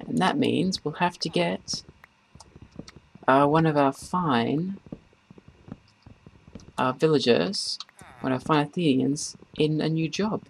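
A man giving casual, rambling gameplay commentary in a halting way as host of a gaming video. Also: a little high, vocal fry. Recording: noisy, keyboard and mouse clicks.

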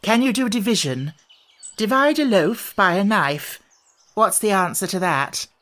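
A man's voice: sits high, lively intonation, measured pace, fairly bright tone, voice pushed up. A man voicing a feeble, muddled, childlike queen in a storybook, with a dithering, earnest manner. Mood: quizzing.

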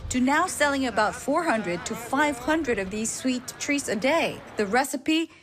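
A woman reporter narrating a scripted news story in a measured way. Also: high-pitched, expressive.

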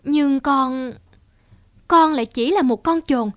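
A woman's voice: sits high, slow, fairly bright tone, no room sound, clean studio sound. A woman voicing a lovelorn young female fox in a cartoon fairy tale, pleading earnestly and plaintively. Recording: music behind the voice.

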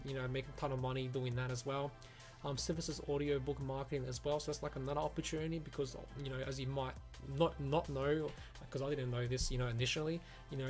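A man talks quickly in a slightly high voice, reviewing software in a casual, rambling way. His speech is peppered with filler phrases, and faint music plays behind him. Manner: rambling, peppered with "you know" and "like". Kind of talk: casual screen-recorded review. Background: background music.

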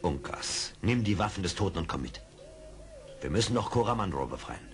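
An actor playing a calm, shrewd frontier scout in a radio play, speaking in a measured, commanding way. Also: male voice, deep voice. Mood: urgent. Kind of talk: 1970s German radio play acting. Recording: old record, clear background noise.

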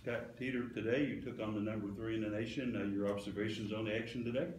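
Male interviewer, low voice, off-mic and roomy, faint hiss. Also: steady tone, quick pace, darkish tone. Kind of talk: sports interview questions. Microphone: off-camera, away from the mic. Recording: distant, echoey, faint hiss.

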